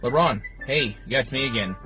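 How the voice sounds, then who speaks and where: A man playing an exasperated, sarcastic police officer in a comedy skit, polite and deadpan, with music underneath. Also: medium pitch, a little fast, natural voice. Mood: deadpan sarcasm.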